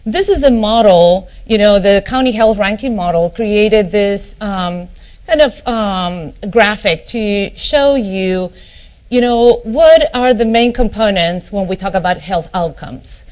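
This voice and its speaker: A woman's voice: mid-range voice, slow, a little mellow. A middle-aged woman from Argentina with an Argentine Spanish accent, a family physician giving a conference keynote, often stopping on ums and "you know" and restarting her phrases. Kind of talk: conference lecture.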